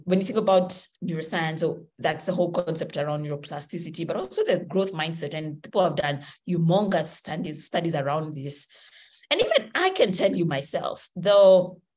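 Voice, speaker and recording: A Kenyan woman with a slightly low voice gives a motivational talk over a video call. She speaks with animated energy, moving her hands as she explains. The sound is the plain sound of a laptop video call from a room at home. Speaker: webinar speaker.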